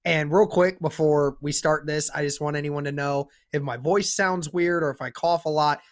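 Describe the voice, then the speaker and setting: A man's voice: a little high, steady tone, moderate pace. A young man with a sore throat hosting a casual sports podcast, upbeat and leading the talk. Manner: upbeat, leads the discussion. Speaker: podcast host.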